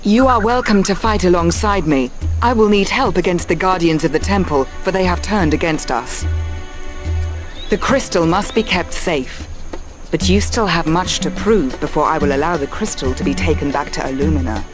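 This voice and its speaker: Woman playing a stern, low-voiced warrior woman. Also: moderate pace, soft background music, close to an everyday voice. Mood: guarded, resolute. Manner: firm, formal, solemn. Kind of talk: video game cutscene acting.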